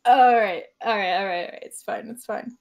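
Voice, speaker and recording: A woman's voice: mid-range voice, moderate pace. A woman in a casual chat, reacting expressively and giggly to a story as a fellow tabletop player.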